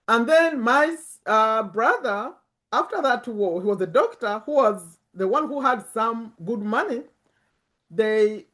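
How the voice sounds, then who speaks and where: A woman in her fifties with a Ugandan accent, giving an informal motivational talk and repeating words for emphasis. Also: a little high. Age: 50s. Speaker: personal finance YouTuber and community host. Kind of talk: informal motivational talk to camera and online group.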